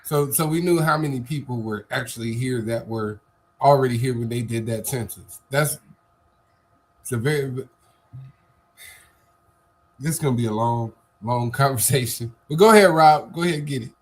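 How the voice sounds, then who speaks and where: A man's voice: mid-range voice, brisk. A man co-hosting a live stream talk, arguing assertively with rhetorical questions and repeated starts. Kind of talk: casual debate chat.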